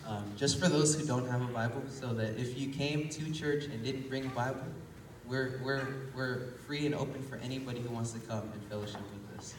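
A young man preaching a casual sermon in a small church, his voice hoarse from illness, recorded from the pews. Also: medium pitch, brisk, noisy background. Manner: relaxed and conversational, rising with emphasis. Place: small church hall with congregation. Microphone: phone recording from the pews. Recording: echoey church hall from far off.

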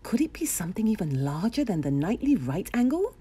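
Woman acting eager young squire boy, high-pitched. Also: a little fast, husky. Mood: wondering. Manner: excited, exclaiming and wondering. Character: eager, brave young squire boy on his first quest.

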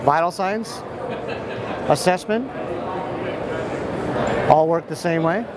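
Higher-voiced American man, noisy expo floor. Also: slow, over a noisy crowd. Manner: matter-of-fact, step-by-step explaining. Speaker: software company representative giving a product demonstration. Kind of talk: informal product demo. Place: large indoor expo hall. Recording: noisy busy trade show floor. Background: clear ambient hall noise.

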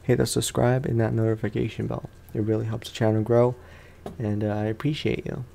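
A man's voice: lowish voice, flat delivery, brisk, creaky, dark tone. A young man, sounding tired, gives casual let's play commentary as a gaming host, rambling along in slangy, informal speech.

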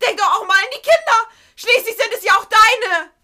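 A hysterical female captive in a comic audio story. Her voice is extremely high and piercingly sharp. She screams and wails with full melodrama. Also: lively intonation, normal speed, heavily acted. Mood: hysterical, desperate. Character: unicorn princess pretending to be a hysterical captive.

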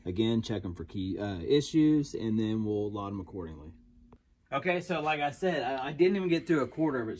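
A man, hosting a casual vlog in a warehouse and joking excitedly. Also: medium pitch. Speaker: channel host. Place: large indoor storage warehouse.

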